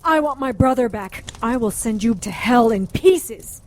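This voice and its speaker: A defiant, commanding heroine in an audio drama. Her voice is fairly high and her delivery curt, with faint noise and rumble in the background. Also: animated, measured pace. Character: fierce, defiant woman doctor hunting for her brother.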